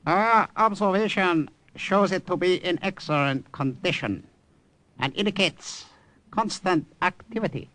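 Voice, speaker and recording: An actor playing a cold, officious Japanese army colonel in an old-time radio drama, speaking formally and deliberately. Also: male voice, sits high, sharp tone, dry recording, low-quality recording. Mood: coldly official. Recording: vintage radio drama recording.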